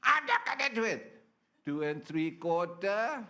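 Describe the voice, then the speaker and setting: A cheeky little boy stalls and drags out his counting, as a man voices him. The voice jumps up high and squeaky in places and stays teasing throughout. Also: sing-song, unhurried, bright, forceful tone, full character voice. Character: naughty young boy stalling his parent.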